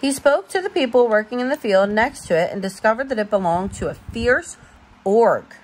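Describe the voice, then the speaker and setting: A woman reading a bedtime storybook aloud as a parent, expressive and informal, stumbling now and then over words. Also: a little high, moderate pace, rough recording.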